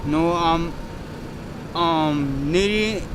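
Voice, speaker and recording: Higher-voiced man, terse, on a noisy street. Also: drawn-out words. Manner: terse, repetitive, questioning. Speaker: passerby questioning the speaker. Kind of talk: casual street exchange. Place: outdoors in a city square. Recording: noisy outdoor street recording with traffic.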